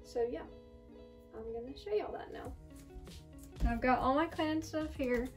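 A woman's voice: high-pitched, unhurried, darkish tone, recorded from a distance, soft background music. Young woman chatting in a clean-with-me vlog. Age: young adult. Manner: chatty, lots of ums and so yeah. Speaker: vlogger. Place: small house rooms, indoors. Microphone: camera on a stand, from across the room.

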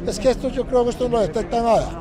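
A man's voice: extremely high pitch, steady tone, fast, rough recording. A man trading emeralds, explaining the market in a plain, matter-of-fact way outdoors. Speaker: emerald trader. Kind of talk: casual street interview. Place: busy city plaza outdoors. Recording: busy outdoor crowd noise. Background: crowd chatter and traffic.

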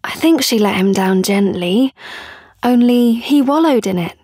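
A woman's voice: on the high side, expressive, a little slow, dark tone, breathy edge, no room sound, clean studio sound. An actress playing a patient young woman musician in an audio play, chatty and confiding. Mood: wry, hopeful. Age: young adult.

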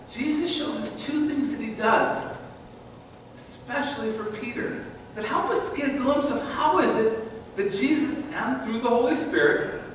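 A middle-aged man preaching a sermon as pastor in a church sanctuary, animated and gesturing as he walks before the altar. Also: high voice, slight background noise. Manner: animated, sweeping arm gestures while walking. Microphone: far camera in the church. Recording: echoey church from a distance.

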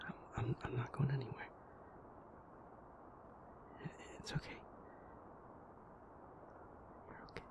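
A man playing a shy, anxious, gentle young boyfriend in an audio roleplay, stammering, hesitant and apologetic in a soft-spoken way. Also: mid-range voice, level tone, very fast, whispered, natural voice. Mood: tender, soothing.

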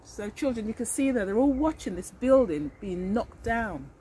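Older woman, very lively high-pitched storyteller. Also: normal speed, poor audio. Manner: expressive, explains and asks questions of young listeners. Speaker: early years teacher reading to children. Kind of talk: picture-book storytelling with asides to children. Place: outdoors in a leafy garden. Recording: outdoor garden recording with birdsong and noise.

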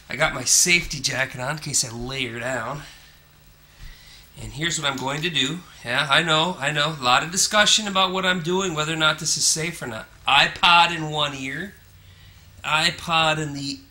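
A man's voice: fairly high pitch, pushed and bright, a little hiss or hum. A man filming his own road trip, chatting casually to the camera with joking asides. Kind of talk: casual self-narration to camera. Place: on the road and in a parking lot.

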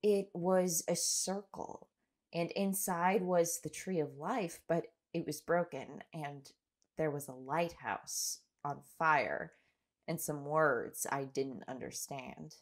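A woman playing a shaken but determined young woman, telling grim events in an audio drama with halting, deliberate delivery. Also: low-pitched, fairly even intonation. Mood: grave, haunted. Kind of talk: audio drama narration and dialogue.

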